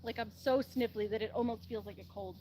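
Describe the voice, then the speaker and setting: A woman's voice: a little high, fairly even intonation, fairly quick, noisy background. Sniffly woman chatting while gardening. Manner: rambling, says "like" often. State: sniffly from allergies. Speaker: animal channel host. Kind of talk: casual chat to a live audience while gardening. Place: outdoors in a farmyard garden.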